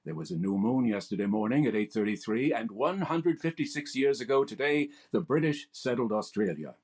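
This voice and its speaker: An actor playing a formal, smooth-talking male radio showman hosting a program. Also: medium pitch, lively intonation, brisk, darkish tone. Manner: smooth formal announcer delivery. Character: grand, resonant male radio showman host. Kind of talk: old-time radio comedy recreation.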